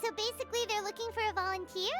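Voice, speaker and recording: A woman voicing a small, excitable female sprite companion in a video game who talks about herself in the third person. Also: very high voice, very fast. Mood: chirpy. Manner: excitable, refers to herself by name. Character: tiny floating female companion sprite, chirpy and eager. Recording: game audio with loud music behind.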